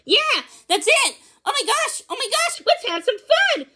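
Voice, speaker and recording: Lady doing a super high hyper little pug pup from a storybook, breathless, showing off, so happy. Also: sing-song, unhurried, pushed and bright, exaggerated voice. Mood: wildly excited. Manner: breathless, gleeful, repeating exclamations. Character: excitable, boastful young pug puppy, hyper and playful.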